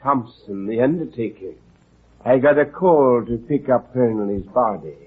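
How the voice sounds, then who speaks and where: An actor playing a polite, deliberate undertaker with a formal, measured manner in classic radio drama. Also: male voice, mid-range voice, expressive, husky, faint music behind. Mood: formal, reserved. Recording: muffled, lo-fi vintage radio recording.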